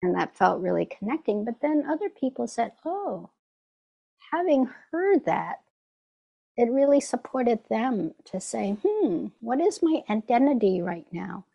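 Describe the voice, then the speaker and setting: A woman's voice: a little high, very animated, a little slow, creaky, muffled recording. A woman meditation teacher giving a reflective dharma talk, often checking in with "right?" and "you know".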